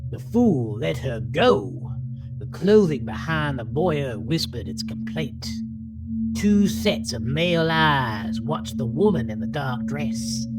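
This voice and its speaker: A woman narrating a horror story in a deliberate, theatrical manner with dramatic pauses. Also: very deep voice, rough recording. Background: music bed.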